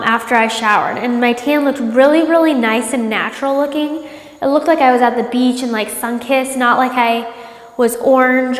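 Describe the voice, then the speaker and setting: Congested woman in an echoey room. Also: on the high side. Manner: chatty, many ums, upbeat. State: congested from a cold. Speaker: product reviewer. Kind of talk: casual product review vlog. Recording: echoey room with long reverb.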